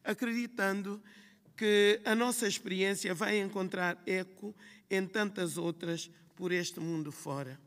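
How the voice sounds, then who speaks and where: A woman from Guinea-Bissau with a Guinea-Bissau Portuguese accent, a writer reading a measured, reflective prepared speech on a festival panel. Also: medium pitch, steady tone. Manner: measured, reflective, listing phrases in rhythm. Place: large hall at a literary festival. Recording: long hall reverb.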